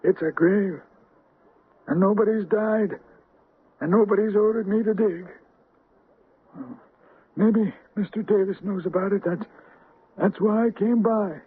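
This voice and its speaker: A very husky, high-pitched groundskeeper, hesitant and unsettled in an old radio mystery. He reports strange things in halting phrases, through a tinny, narrow recording. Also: steady tone, exaggerated voice. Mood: insistent, baffled. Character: shaken male cemetery groundskeeper reporting strange sights. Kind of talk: 1970s radio drama acting.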